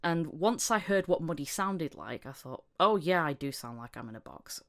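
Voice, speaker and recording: A woman with a low-pitched voice hosts a casual podcast chat. She speaks conversationally and anecdotally, like a voice actor swapping shop talk with a colleague. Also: lively intonation, moderate pace, a bit bright. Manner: anecdotal, acting out reported conversations.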